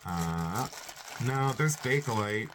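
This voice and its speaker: A congested, stuffed-up man talks through his haul in a chatty, rambling way, often cutting himself off and laughing. His voice swings widely up and down in pitch, sitting around a middle register. He sounds like a casual reseller showing his finds. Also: expressive, takes it slowly, background noise. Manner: chatty, rambling, self-interrupting, frequent laughs.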